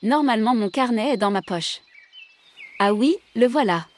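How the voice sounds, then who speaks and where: A woman's voice: on the high side, expressive, moderate pace, lightly acted. A woman playing an observant long-time captive and confidante in an audio drama. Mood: cheerful, curious.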